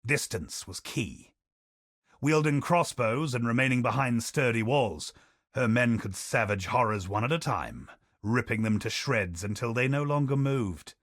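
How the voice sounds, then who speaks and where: An actor playing a solemn male chronicler of a doomed colony, in a grave, measured manner of narrating, recorded dry. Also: lowish voice, dry recording. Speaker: game character narrating lore. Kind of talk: scripted lore recitation.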